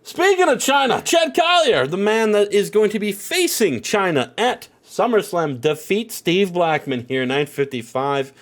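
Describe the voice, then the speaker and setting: A man's voice: high-pitched, big pitch swings, a little slow, few pauses. A man with a stuffed-up nose giving casual, rambling commentary on a wrestling game, full of filler phrases. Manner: rambling, heavy on "you know" and "at the end of the day".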